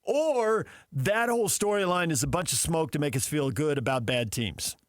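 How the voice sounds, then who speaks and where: A man with a slightly high voice, recorded dry and close, hosts a sports radio debate. He builds his case insistently, point after point, in conversational talk. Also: lively intonation, moderate pace, no echo. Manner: insistent, argumentative.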